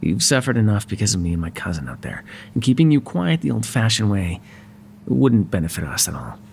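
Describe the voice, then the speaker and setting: Casting: male actor for wry, exasperated yet courteous mobster, gravel-edged, conversational roleplay delivery, faint hum and noise on the recording. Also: fairly low pitch, big pitch swings, a little fast. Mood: soft, apologetic. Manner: sardonic and conversational, swinging from exasperated to soft. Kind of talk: ASMR roleplay voice acting.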